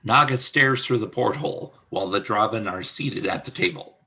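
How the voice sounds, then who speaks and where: A man's voice: lowish voice, moderate pace, sharp tone, slightly rough. A man narrating a scripted radio drama, reading out the scene descriptions.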